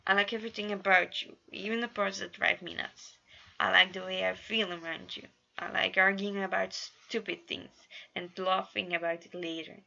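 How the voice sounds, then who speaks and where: Woman voicing a creaky, earnest nervous young man. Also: mid-range voice, little pitch movement, moderate pace, bright, forceful tone, muffled recording.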